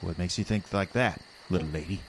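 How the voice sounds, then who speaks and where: Folksy, drawling old island hermit. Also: male voice, deep voice, fairly quick, a little hiss or hum. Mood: cagey. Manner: drawling, folksy backwoods talk. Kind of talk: audio drama acting.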